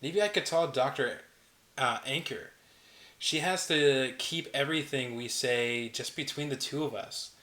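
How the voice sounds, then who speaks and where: A man reading the part of a worried young woman roommate, speaking in a hesitant, halting way. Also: medium pitch, pushed and bright, echoey room.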